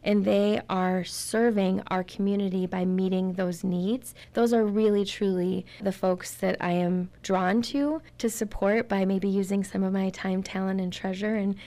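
A woman's voice: medium pitch, flat delivery, measured pace, few pauses, warm tone. A middle-aged woman, a guest in an interview, talking earnestly about her community volunteer work and repeating words for emphasis. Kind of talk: casual interview talk.

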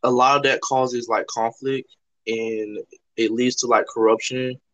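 A man's voice: medium pitch, steady tone, a little slow, a bit bright, dry recording. A man from Toronto hosting a podcast, explaining ideas in an earnest, rambling way with lots of "like" fillers. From Toronto, Canada. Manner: rambling, heavy use of "like" fillers. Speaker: podcast host. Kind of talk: casual conversational discussion. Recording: slightly dull lo-fi audio.

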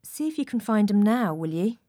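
A woman's voice: medium pitch, big pitch swings, a little fast, mellow, no room sound, close to an everyday voice. A cryptic old man of the docks, acted out by a woman reading an audiobook. He talks in archaic, rustic turns and keeps repeating himself like someone half cracked. The sound is close and dry. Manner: muttering, repetitive, archaic rustic speech.

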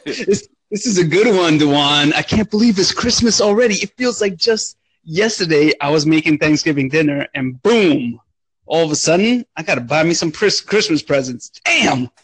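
A young man with a somewhat high, husky voice chats with energy on a podcast. His stories come out loose and profane. The recording is dry and close. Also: normal speed, dry recording, low-quality recording. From Romania. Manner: animated, profane storytelling. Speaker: podcast co-host. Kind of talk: casual chat.

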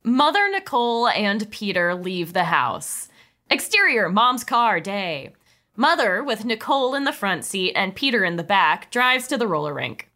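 A woman's voice: mid-range voice, sing-song, unhurried, sharp tone. A woman reading stage directions as narrator of a comedy table read.

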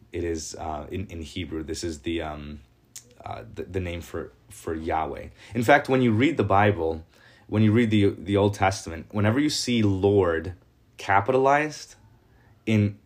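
Man, lowish voice, pastor, informal scripture teaching, rhetorical questions, halting delivery. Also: a little mellow. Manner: conversational, asks rhetorical questions, many hesitations.

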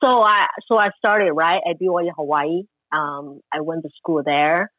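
A woman's voice: deep voice, moderate pace, no room sound, lo-fi sound. A woman from Taiwan in her forties, a guest telling her story with many fillers. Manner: warm storytelling, frequent fillers. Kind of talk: interview conversation.

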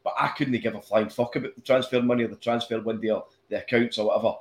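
A man co-hosting a podcast, chatting fast and casually, stumbling over restarts and swearing freely. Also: mid-range voice, fairly even intonation. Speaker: podcast co-host. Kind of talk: casual football chat. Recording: echoey room.

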